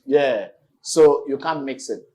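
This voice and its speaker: A man hosting a casual on-location chat in a small restaurant, lively and promotional. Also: mid-range voice, slow pace. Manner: lively and promotional, urging viewers to visit. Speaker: channel host.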